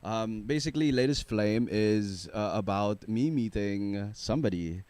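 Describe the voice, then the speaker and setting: Young male guest, low baritone, smooth and dry studio sound, loose and chatty with lots of fillers. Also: a little slow, no echo. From Philippines. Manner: relaxed, rambling, filler-heavy, laughs easily. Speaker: singer-songwriter guest. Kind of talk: casual radio interview chat. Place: radio studio.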